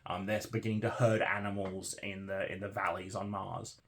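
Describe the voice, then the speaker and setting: A man's voice: deep voice, little pitch movement, moderate pace, creaky, fairly bright tone. A man hosting a book read-along vlog, talking conversationally to viewers as he recaps and muses. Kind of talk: casual book discussion to viewers.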